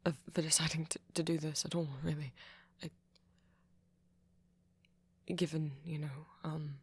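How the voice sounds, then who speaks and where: Man acting as a soft, breathy, shy British vampire boy. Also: a little high, little pitch movement. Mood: shy, flustered. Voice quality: soft, breathy, often near whisper. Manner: stammering, halting, flustered and apologetic. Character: shy, nervous young male vampire on a date. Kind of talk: ASMR boyfriend roleplay, intimate acting.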